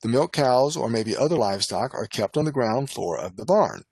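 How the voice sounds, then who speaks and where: A man narrating a scripted medical lecture as an instructor, in a formal, measured, instructional manner. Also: medium pitch, lively intonation, gravelly, darkish tone, breathy edge, no room sound, studio quality. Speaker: lecturer, nurse and poison specialist.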